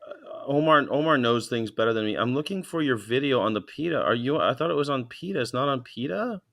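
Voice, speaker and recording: Playful man with a lively voice. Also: medium pitch, a little fast, creaky. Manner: playful, joking. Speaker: guest on a livestream. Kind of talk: casual livestream chat.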